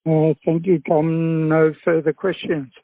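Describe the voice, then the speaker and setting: A man calling in as a private investor, asking a polite, halting question over a phone line. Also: on the high side, little pitch movement, no echo. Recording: muffled lo-fi phone line, dry.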